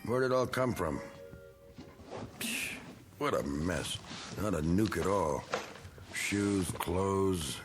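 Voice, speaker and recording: A bitter middle-aged American veteran in a radio play. His voice is low and gruff, swinging up and down as he mutters in clipped phrases, with music behind. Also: lively intonation, takes it slowly, long pauses. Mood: brooding, muttering. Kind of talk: radio drama acting.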